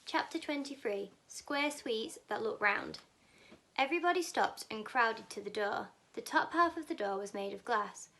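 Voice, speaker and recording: Female children's story narrator, slightly high-pitched voice, scripted read-aloud. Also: animated, moderate pace, sharp tone. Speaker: teaching assistant reading aloud.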